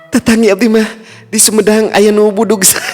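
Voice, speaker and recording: A man's voice: high-pitched, a little mellow, pitched above the performer's own voice, heavily acted. A man voicing an elderly village mother who gossips and scolds. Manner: chatty, scolding. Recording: reverb, faint music, distant.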